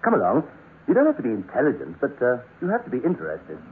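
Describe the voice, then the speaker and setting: An actor playing a curious, brisk geologist in a 1960s radio drama, with an expressive delivery. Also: male voice, medium pitch, a little hiss or hum. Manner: animated, expressive. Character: curious, brisk male geologist telling his eerie adventure. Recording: tinny band-limited old radio recording.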